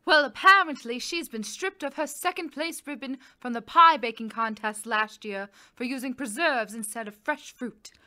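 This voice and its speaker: A woman's voice: high voice, moderate pace, few pauses, bright, forceful tone. A woman playing an eager, gossiping village housewife in a comic audio sketch. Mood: gossipy.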